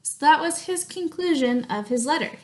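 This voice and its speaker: Female teacher, high-pitched, reading aloud and explaining simply to young pupils. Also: lively intonation, moderate pace, a little mellow. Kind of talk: read-aloud lesson for young children.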